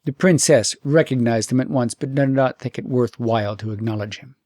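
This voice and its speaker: A man reading a fairy tale as an audiobook storyteller, in an expressive, measured storybook delivery. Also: medium pitch, no echo, studio quality.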